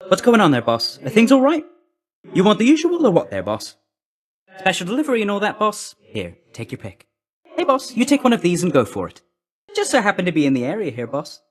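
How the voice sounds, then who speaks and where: A cheeky young male messenger god for a video game. The voice sits fairly high and swings up and down constantly. He talks in a breezy, clipped, slangy way, like a chummy courier who is always in a hurry. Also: wildly swooping pitch, fairly quick. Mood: breezy, hurried. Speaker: video game character actor.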